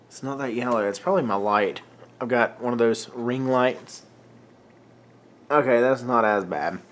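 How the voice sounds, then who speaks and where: A man's voice: mid-range voice, moderate pace, a bit bright. A young man from North Carolina chatting in an unedited home vlog, wandering off topic with lots of fillers while fighting a cold. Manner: rambling, filler-heavy, self-interrupting. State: getting a cold, clearing throat. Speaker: vlogger. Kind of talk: casual unedited vlog chat. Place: room at home. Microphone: camera mic, facing camera under ring light.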